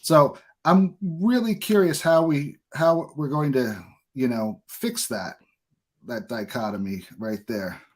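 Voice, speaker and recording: A man's voice: medium pitch, slow. An American man speaks as a podcast host in casual, conversational interview talk.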